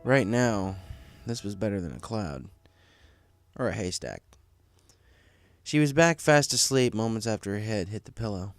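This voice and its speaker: A man's voice: on the low side, animated, normal speed, no echo. A man reading a story aloud as a scripted narrator, in a storybook style. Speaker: fan fiction story reader.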